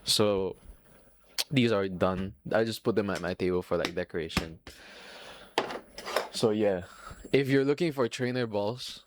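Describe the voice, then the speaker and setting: A man's voice: lowish voice, unhurried, pauses often, background noise. A young man from Singapore with a Filipino accent, rambling casually and swearing freely as the host of a solo podcast. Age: young adult. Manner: profane, rambling, self-mocking.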